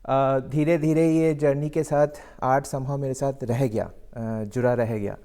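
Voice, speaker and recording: A man's voice: mid-range voice, fairly even intonation, a little slow, mellow, smooth. Hesitant man mixing English phrases. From Delhi, India. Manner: hesitant, many fillers, mixes English phrases. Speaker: guest artist. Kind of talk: casual interview answers.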